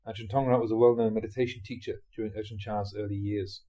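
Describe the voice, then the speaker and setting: A man reading Buddhist teaching stories aloud in the animated manner of a storyteller. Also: fairly low pitch, quick pace, lo-fi sound. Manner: animated storyteller, acts out dialogue, often chuckles. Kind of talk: audiobook reading of dharma talk storytelling.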